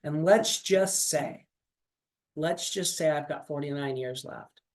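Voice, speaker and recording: A man's voice: on the high side, slow. Man in his forties giving a motivational coaching pep talk. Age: 40s. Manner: coaching, repetitive, pep-talk with many fillers. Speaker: sales coach. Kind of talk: motivational training call.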